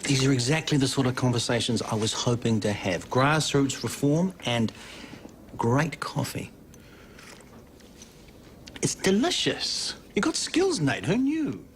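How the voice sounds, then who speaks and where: Cast an earnest, jargon-loving hospital CEO, mid-range voice, soap drama. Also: male voice, mid-range voice, very animated, a little slow, pauses often, a little hiss or hum. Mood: enthusiastic. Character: newly idealistic male hospital boss. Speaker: hospital chief executive. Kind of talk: television soap drama acting.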